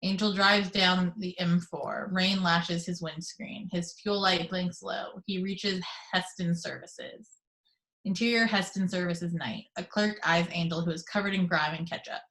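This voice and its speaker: A woman reading the action descriptions aloud in steady, measured narration for an amateur table read over a video call. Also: fairly low pitch, poor audio. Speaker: reader of stage directions.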